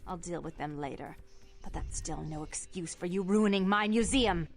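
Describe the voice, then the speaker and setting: A woman's voice: on the low side, normal speed, faint music behind, close to an everyday voice. An actress playing an imperious, scolding vampire woman in a video game, with a haughty, accusatory manner. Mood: bitter, controlled. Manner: haughty, accusatory, clipped commands.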